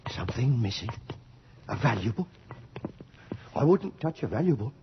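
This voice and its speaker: Nervy, proud servant-class hairdresser, animated and defensive, muffled radio recording with clear noise. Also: male voice, mid-range voice, moderate pace. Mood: anxious, indignant. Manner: defensive, colloquial, ungrammatical. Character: servile, wary working-class hairdresser, proud of his trade. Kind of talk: radio drama acting.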